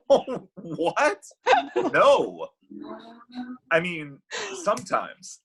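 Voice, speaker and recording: A grown-up, sarcastic Cupid played by a man in a comedy table read. The voice is husky and bright, swinging freely up and down with a brash, defeatist edge. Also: a little high, wildly swooping pitch, very slow, heavily acted. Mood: exasperated.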